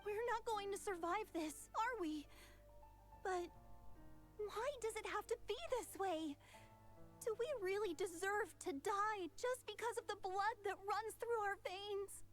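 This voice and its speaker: A very high, anxious young girl. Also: unhurried, faint music behind. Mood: despairing. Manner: pleading, distressed. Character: anxious young woman, desperate and pleading.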